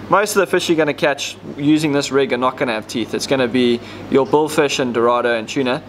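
A man's voice: mid-range voice, quick pace, a little hiss or hum. A man, casually explaining step by step how to rig fishing gear, with plenty of ums. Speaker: fishing tackle demonstrator. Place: indoor tackle shop counter.

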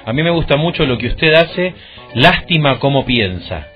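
A man's voice: mid-range voice, very animated, moderate pace, slightly rough. A man with a Buenos Aires accent hosting a conversational political interview on the radio. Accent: Buenos Aires Argentine. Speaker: radio host. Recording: dull lo-fi radio audio with music.

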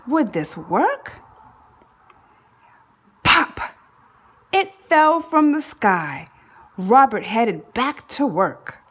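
A woman reads a children's story aloud at an unhurried pace. Her pitch moves with plenty of animation, and her voice has a husky, slightly rough edge. She phrases deliberately, giving key words dramatic weight. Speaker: storybook reader. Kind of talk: expressive children's read-aloud narration.

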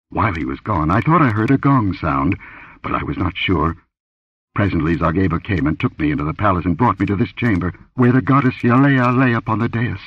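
A man's voice: sits low, slightly rough. A man voicing a terrified young dancing girl who pleads tearfully and breathlessly in a pulp adventure story. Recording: dull lo-fi audio.

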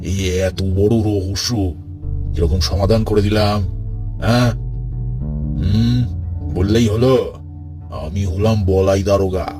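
A man's voice: fairly low pitch, fairly even intonation, natural voice. A man voicing a pompous, bullying police inspector in a cartoon, blustering and self-satisfied with a Bengali accent. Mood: smug, boastful. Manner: blustering, self-satisfied, hectoring, halting repeats. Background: music.

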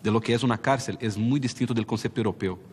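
A man from Brazil, an inmate, speaking in a measured, articulate way. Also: mid-range voice, husky, long reverb tail.

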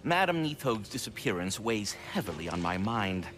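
Ancient tree resident, game character, lower voice, dramatic swings, formal, anxious, ambient noise behind. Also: male voice, big pitch swings, normal speed. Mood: worried, mournful. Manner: formal, melodramatic, fretful. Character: ancient, courteous, fretful male dweller of a great tree. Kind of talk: video game acting.

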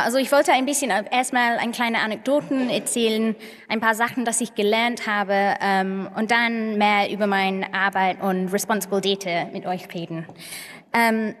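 A woman from England speaking non-native German with an English accent, haltingly searching for words as she gives an anecdotal conference talk. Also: a little high, slight background noise. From England (Manchester). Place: large conference hall on stage, indoors. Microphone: podium microphones. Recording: echoey hall with long reverb.

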